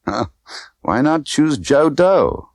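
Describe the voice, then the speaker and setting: A man's voice: on the high side, sing-song, slow pace, a little mellow, no echo, studio quality, pitched above the performer's own voice, full character voice. A man voicing a tough, condescending young woman smuggler who talks in clipped, bossy slang. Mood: condescending, brisk.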